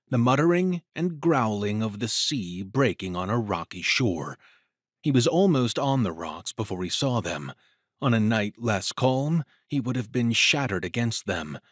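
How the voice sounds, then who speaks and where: Male narrator, mid-pitched, very varied intonation, measured dramatic storytelling, very dry studio sound. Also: dry recording.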